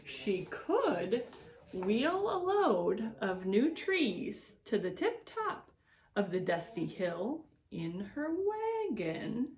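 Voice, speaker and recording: Woman for children's read-aloud: unhurried educator, swooping expressive delivery, mid-pitched voice, words stretched for effect. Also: a little hiss or hum. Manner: deliberate, expressive storytelling with drawn-out words and swoops. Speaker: extension educator reading aloud to schoolchildren.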